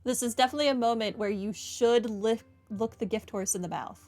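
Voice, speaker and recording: A woman's voice: on the high side, brisk, a little mellow, soft background music. A woman giving casual, chatty, informal commentary as she plays through a video game. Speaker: gaming video host.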